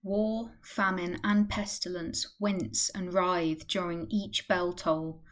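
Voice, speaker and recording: A woman's voice: fairly low pitch, fairly even intonation, takes it slowly. A woman reading scripted narration for a radio play.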